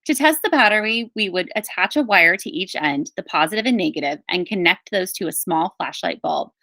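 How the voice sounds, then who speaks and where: Bright-voiced woman reading a story aloud. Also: fairly low pitch, expressive, brisk, no echo, poor audio. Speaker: teacher reading a book aloud. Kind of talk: scripted audiobook-style narration of a children's memoir.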